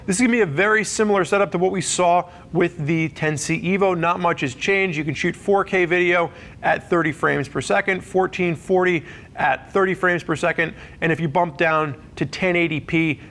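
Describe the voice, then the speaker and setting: A man's voice: sits high, fairly even intonation, brisk, a bit bright. A man presenting a gear review in a conversational, explanatory way, talking through features as a semi-scripted walkthrough.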